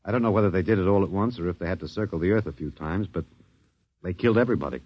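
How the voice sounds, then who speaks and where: An actor playing a wry, detached, philosophical American academic, musing and hesitant, in a 1950s radio drama. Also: male voice, low voice, rapid-fire, dry recording. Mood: musing explanation. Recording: dull vintage 1950s radio recording.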